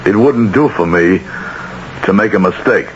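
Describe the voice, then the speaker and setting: Guy playing a polite killer in an old radio drama, low voice, deliberate, stagey, muffled hissy sound. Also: close to an everyday voice. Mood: hesitant, businesslike. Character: smooth male hired killer, doting husband, untroubled conscience. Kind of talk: 1970s radio drama acting. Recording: muffled, noisy vintage radio recording.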